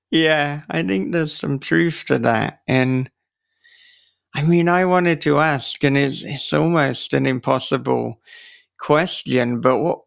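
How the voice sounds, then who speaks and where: A man hosting a casual podcast interview, phrasing his thoughts and questions in a measured, careful way. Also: fairly high pitch, gravelly, fairly bright tone, no room sound, clean studio sound.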